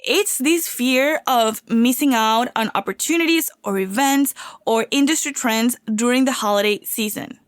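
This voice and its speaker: A woman's voice: a little high, moderate pace, dry recording, studio quality. Woman hosting a podcast. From Venezuela. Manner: upbeat, heartfelt, confiding. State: getting over a cold. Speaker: podcast host. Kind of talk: solo podcast talk.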